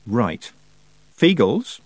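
A man voicing a practical young girl witch who speaks in a sharp, commanding way. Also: medium pitch, very animated, drawn-out words, no echo, full character voice. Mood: insistent. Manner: insistent, commanding.

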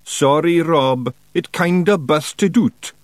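Sheepish little Scottish fairy, high-pitched. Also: male voice, takes it slowly, no echo. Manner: apologetic, simple-minded.

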